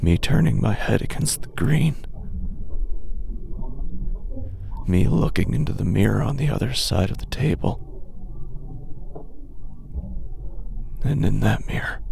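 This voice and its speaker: A man playing a desperate, unraveling gambler, narrating a horror audio drama in the first person with halting, haunted, dramatic delivery. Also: on the low side, vocal fry, a little mellow, slight background noise. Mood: haunted dread.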